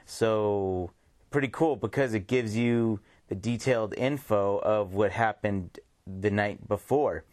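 Laid-back low-voiced male podcast host. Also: takes it slowly, a little mellow, no echo. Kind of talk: casual, unscripted chat.

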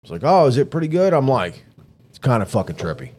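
A man's voice: medium pitch, very animated, fast, a little mellow, husky. A man with a New York accent, co-hosting a podcast in casual, profane, rambling chat. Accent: New York, Bronx-tinged. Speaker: podcast co-host.

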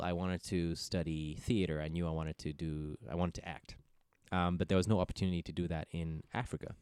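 A man in his thirties who grew up in Ethiopia, a comedian guest telling his story in an animated way with frequent laughter. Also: rumbling low, smooth, no echo, studio quality. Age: 30s. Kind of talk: casual interview chat.

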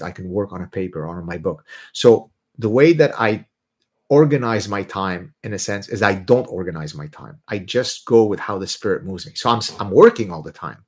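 A middle-aged man from Lebanon whose first language is Arabic, hosting a casual livestream and talking bluntly and emphatically. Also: lowish voice. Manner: animated, blunt, emphatic, mocking voices when ranting. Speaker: livestream host answering viewer questions.

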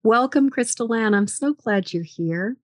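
A woman's voice: fairly high pitch, lively intonation, unhurried, no echo, studio quality. A woman hosting a podcast interview, chatty and enthusiastic, rambling with lots of fillers. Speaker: podcast host. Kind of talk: casual interview chat.

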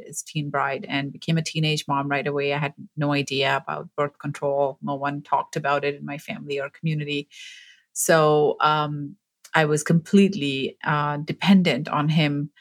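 A woman in her forties from Pakistan, raised in Abu Dhabi, telling her story as an interview guest in an earnest, measured way with hesitant fillers. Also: deep voice, a bit bright. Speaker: guest, author and medical student.

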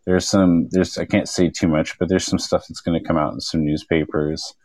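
A man with an extremely low, deep voice talks earnestly as a guest on a panel. He rambles and leans on fillers, and the sound is close and dry. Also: flat delivery, normal speed, a little mellow, no room sound. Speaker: activist guest. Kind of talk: casual chat.

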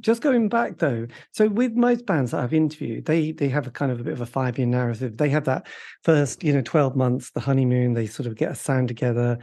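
A man's voice: mid-range voice, brisk, creaky, dark tone. A man interviewing a musician, asking long, rambling questions with eager interest. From Britain. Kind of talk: conversational interview.

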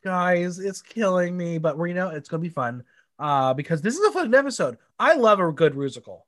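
Congested guy hosting a podcast, high voice that jumps all over, chatty. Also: a little fast. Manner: chatty, animated, swears casually. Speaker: podcast host. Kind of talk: casual podcast chat.

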